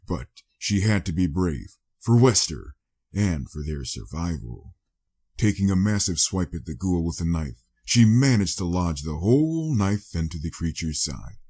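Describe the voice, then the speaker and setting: A man's voice: deep voice, a little mellow, breathy edge. A man reading a scripted story as a dramatic audiobook narrator.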